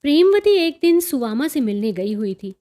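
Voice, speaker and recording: A woman reading a literary story as an audiobook narrator, in an expressive, enunciated way. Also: sits high, moderate pace.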